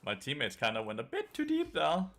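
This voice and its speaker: A man's voice: fairly high pitch, huge pitch swings, fairly quick, a bit bright. A man with a Danish accent, casually commentating his own gameplay while streaming and answering chat. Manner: casual, swears, answers chat between plays. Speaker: game streamer and coach.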